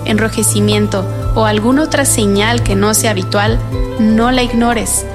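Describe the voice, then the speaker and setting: A woman reading a public service announcement in a calm, earnest way. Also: mid-range voice. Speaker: ad voice-over.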